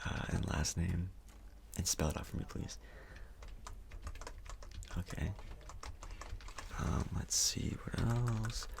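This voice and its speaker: Soft-spoken man, low gravelly ASMR murmur. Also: takes it slowly, pauses often, background noise. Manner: hesitant, casual, reassuring. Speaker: ASMR performer role-playing a doctor. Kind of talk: soft-spoken ASMR role-play, near whisper.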